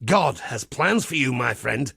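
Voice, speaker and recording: Casting: zealous game crusader, foul-mouthed and grimly cheerful, husky gravel, animated delivery, fairly high pitch. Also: male voice, a little slow, no echo, clean studio sound, full character voice. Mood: steady, comradely. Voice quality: gravelly, rasping. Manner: preacherly, blunt, wry, archaic turns of phrase. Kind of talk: theatrical video game character dialogue.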